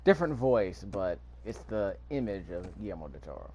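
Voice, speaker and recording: A man's voice: lowish voice, very animated, fairly quick, mellow, slight background noise. A man giving casual, offhand let's play commentary, muttering to himself as he plays. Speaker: video game player recording commentary. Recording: game sound under the voice. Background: video game ambience.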